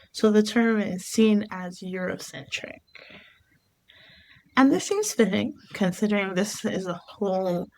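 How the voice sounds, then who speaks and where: A woman from Barbados hosting her own podcast, talking through her thoughts in an unhurried, reflective way. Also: medium pitch, slight background noise, rough recording. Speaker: podcast host. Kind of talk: reflective solo podcast monologue.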